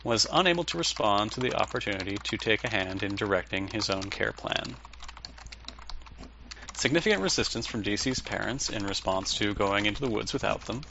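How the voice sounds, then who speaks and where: A man's voice: on the low side, lively intonation, brisk, vocal fry, exaggerated voice. A man acting as a blunt, sardonic therapist with a dry, probing way of talking in an audio drama. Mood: clinical, dry. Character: blunt, sardonic, unorthodox therapist man, older than he looks. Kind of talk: audio drama dialogue and dictated case notes. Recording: muffled with loud background noise.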